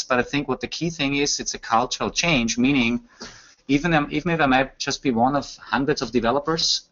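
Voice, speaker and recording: A man's voice: medium pitch, fairly bright tone. Man, German speaker. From Austria. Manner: explanatory, speaks with frequent fillers. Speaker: guest, performance expert. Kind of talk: casual podcast chat. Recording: muffled lo-fi audio.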